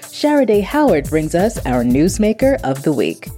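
A woman's voice: lowish voice, big pitch swings, moderate pace, dark tone. A woman reporter interviewing people on location, prompting them and restating their answers. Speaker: community reporter. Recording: long reverb, faint music.

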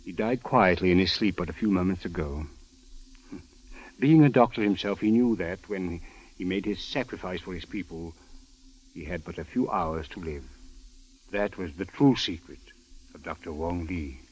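An actor playing a solemn, courteous Egyptian police official in a 1940s radio drama, speaking in a formal, grave, measured manner. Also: male voice, deep voice, slightly rough. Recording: muffled old radio broadcast recording.

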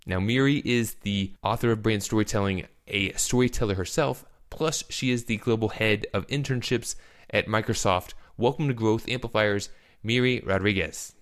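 Man, podcast host, lowish pitch, casual, jokes around. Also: normal speed. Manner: reads intro in a scripted way, then chats loosely and jokes. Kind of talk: podcast interview.

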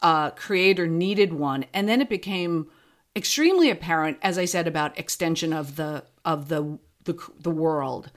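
A woman's voice: low-pitched, moderate pace. A woman talking casually as an interview guest, plain-spoken and encouraging. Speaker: podcast guest, fiction podcast creator.

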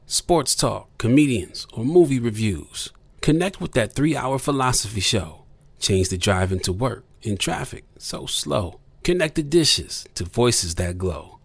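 Male ad narrator, rhythmic poetic read, lively pitch, dry close recording. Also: creaky, breathy edge, no echo. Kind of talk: rhyming scripted ad read.

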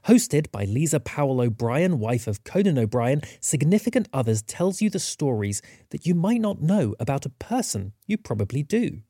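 A man's voice: a little high, big pitch swings, normal speed, hardly any pauses, dark tone, no echo, clean studio sound. A man reading a scripted podcast promo as an announcer.

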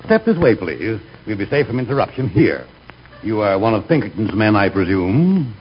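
An actor playing a pompous, genial bank president in a 1940s radio comedy. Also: male voice, fairly low pitch, very animated, moderate pace. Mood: dignified. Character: pompous, genial male bank president, self-important and easily amused. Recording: muffled, noisy 1940s radio recording.

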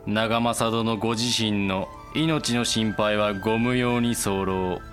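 Unyielding samurai overlord, lowish voice, ceremonial and archaic, music under the dialogue. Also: male voice, slow, fairly bright tone. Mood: formal, imperious. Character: cold, imperious warlord delivering surrender terms. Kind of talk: Japanese period audio drama acting.

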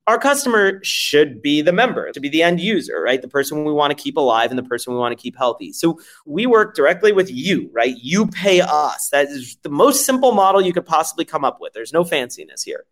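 Brief: male founder from France, fast and lively, fairly high voice, emphatic and profane. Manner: emphatic, profane, rhetorical questions. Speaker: startup founder guest. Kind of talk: energetic interview pitch.

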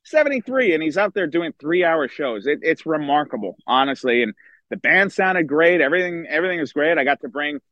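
Hoarse, chatty middle-aged man. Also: a little high, big pitch swings, brisk, no room sound, highs cut off. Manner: chatty, enthusiastic, many restarts. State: hoarse from screaming at a concert. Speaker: baseball writer and guest. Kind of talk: casual podcast chat. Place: outdoors by a river.

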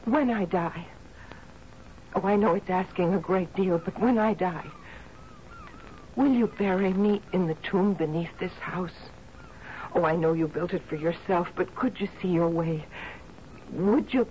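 An actress playing a devoted, sorrowful housekeeper in a 1970s radio drama, earnest and imploring. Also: female voice, fairly low pitch, a little slow, pauses often, warm tone, natural voice. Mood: pleading, intense. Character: devoted, sorrowful woman housekeeper, intense and pleading. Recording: muffled, hissy old radio broadcast.

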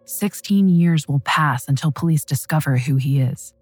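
Very low-pitched woman narrating true crime. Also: moderate pace, darkish tone, husky, dry recording, soft background music. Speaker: true crime podcast host. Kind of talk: scripted dramatic storytelling narration.

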